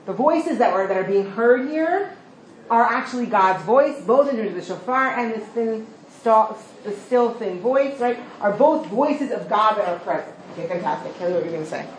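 A woman leading a study class, talking quickly and encouragingly, often asking "right?" and praising answers. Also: a little high, expressive. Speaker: teacher leading a study session. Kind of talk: interactive class discussion. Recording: echoey room with background noise.

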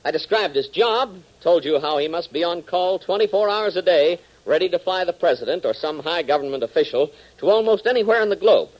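A male 1940s radio announcer narrating for young listeners. His voice is high and very lively in pitch. He delivers the script emphatically and directly. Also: brisk, few pauses, muffled recording.

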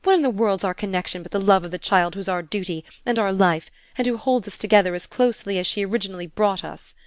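A woman reading aloud and voicing a wrathful, scornful stepmother from period fiction in a loud, vehement manner. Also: mid-range voice, quick pace, no room sound, studio quality, close to an everyday voice. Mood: scornful, furious.

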